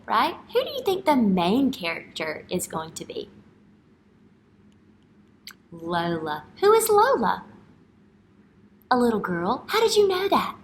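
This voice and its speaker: Unhurried woman teacher reading to young children. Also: medium pitch, vocal fry, a little hiss or hum. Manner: instructional, expressive, checks understanding with questions and "right?". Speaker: early-grade teacher. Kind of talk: picture book read-aloud with questions to young children. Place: indoor school classroom.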